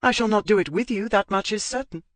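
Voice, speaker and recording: A woman's voice: mid-range voice, fast talker, no room sound, pitched above the performer's own voice, natural voice. A man voicing a fiery, strong-willed young woman who speaks in a clipped, formal way. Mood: indignant. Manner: indignant, clipped formal speech.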